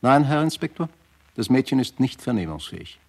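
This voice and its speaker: An actor playing a sober, protective hospital doctor in a radio play, measured and clinical. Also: male voice, mid-range voice, very animated, gravelly, a little mellow. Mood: firm, grave.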